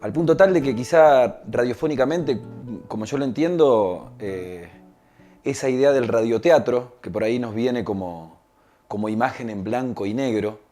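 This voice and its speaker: Mellow, hesitant man. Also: medium pitch, moderate pace, faint music behind. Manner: hesitant, searching for words, tag questions. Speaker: radio drama maker being interviewed. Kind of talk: reflective explanatory talk.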